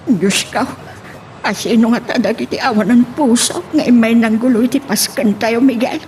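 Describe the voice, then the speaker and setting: A woman's voice: a little high, moderate pace, a little mellow, slight background noise, natural voice. A woman acting a distraught mother in an Ilocano radio drama, wailing and exclaiming with a Filipino accent. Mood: anguished. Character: distraught, wailing mother fearing for her child.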